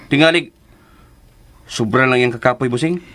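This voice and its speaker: An actor with a Filipino accent playing a gossipy, concerned friend in a radio drama, exclaiming expressively. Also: male voice, mid-range voice, normal speed, a bit bright, natural voice. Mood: worried, animated.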